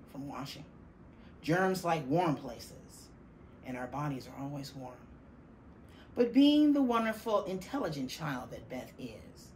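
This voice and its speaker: A middle-aged woman reading a children's picture book aloud, deliberately and with dramatic stress on key words. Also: low-pitched, a little mellow, low-quality recording. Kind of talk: expressive children's storybook reading. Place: small classroom, indoors. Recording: faint room noise behind the voice.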